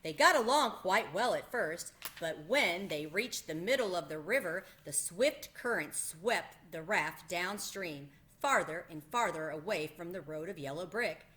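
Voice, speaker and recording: A woman narrating a children's storybook aloud in an expressive manner, as the host of a read-aloud. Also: on the low side, a little slow.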